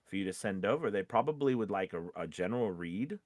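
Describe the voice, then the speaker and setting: A man with a fairly low voice chats as a podcast co-host. He gives advice casually, rambling and circling back to restart his sentences. The recording is close and dry. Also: animated, quick pace, no echo.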